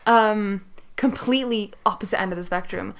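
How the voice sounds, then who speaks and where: A woman's voice: medium pitch, normal speed, vocal fry, slight background noise. A young adult woman chats casually as a podcast co-host. She is emphatic and swears freely, with a mid-range voice.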